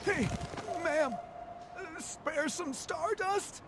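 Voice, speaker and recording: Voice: very high voice, drawn-out words, full character voice. A performer playing a desperate, pleading street beggar in a video game, with noise behind the voice. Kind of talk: scripted video game acting. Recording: noisy game ambience behind voice.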